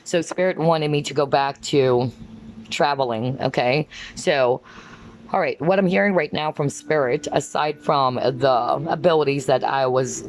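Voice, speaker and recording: A woman giving a rambling spiritual card reading, filling her talk with "like" and "um" as she pauses to pick up messages. Her voice is going hoarse. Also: extremely low pitch, a little hiss or hum. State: losing her voice. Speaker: tarot card reader.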